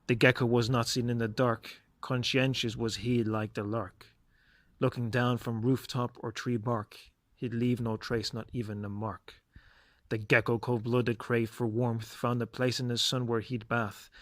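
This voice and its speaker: Male solo podcast host, medium pitch, reflective and measured, emphatic stresses, dry recording. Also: level tone, no echo. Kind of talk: reflective solo podcast monologue with poem reading.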